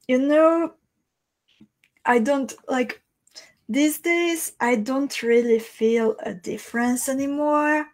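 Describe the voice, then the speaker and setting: A woman with a Hungarian accent, her native language being Hungarian, speaks in a fairly high voice. She takes her time, haltingly working out her thoughts as she talks. The delivery is casual livestream chat from the host of a craft session. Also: darkish tone. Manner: halting, thinking aloud. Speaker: host of a live beading tutorial. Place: small indoor craft room.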